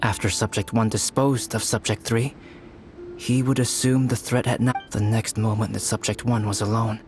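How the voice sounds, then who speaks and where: An actor voicing a composed, thoughtful young male alchemist in a game, speaking in a calm, measured, soft-spoken way. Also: lowish voice, music in the background, natural voice.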